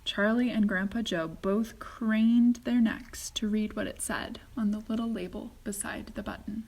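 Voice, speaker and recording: A woman reading a children's story aloud as a teacher would, with expressive, deliberate narration. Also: medium pitch, slightly rough.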